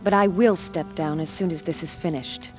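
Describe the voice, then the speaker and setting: A woman's voice: lowish voice, normal speed, soft background music, lightly acted. A woman playing a determined, dutiful leader of a sorceress order in a fantasy video game, speaking in a resolute, terse way. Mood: resolute, impatient. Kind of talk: dramatic fantasy game acting.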